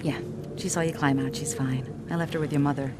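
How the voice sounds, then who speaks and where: A woman playing a calm, reassuring wife. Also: deep voice, a little fast, very noisy, close to an everyday voice. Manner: soft, reassuring. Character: calm, caring wife of a racing driver. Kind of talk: video game cutscene acting.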